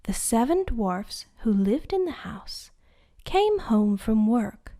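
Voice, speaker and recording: A woman narrating a bedtime story at a very slow, lulling pace. Her voice is soft and hushed, often dropping to a breathy near-whisper meant to soothe children to sleep. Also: on the high side, sing-song. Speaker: host and storyteller.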